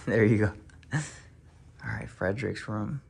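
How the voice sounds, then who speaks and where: Casual tour-guide energy from a guy with a fairly low voice, calling everyone y'all and getting more and more fired up, recorded on a phone with faint room hum behind him. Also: slow, gravelly. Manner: upbeat, hyped, talks to viewers as y'all. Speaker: realtor touring a house. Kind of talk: casual house-tour vlog turning to preaching. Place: empty new house, then its covered back patio.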